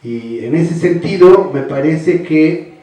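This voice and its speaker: An older man giving a measured formal speech as a panelist, often ending phrases with a questioning "no". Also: on the high side, expressive, dark tone. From Mexico. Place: large hall. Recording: echoey hall, voice from far off.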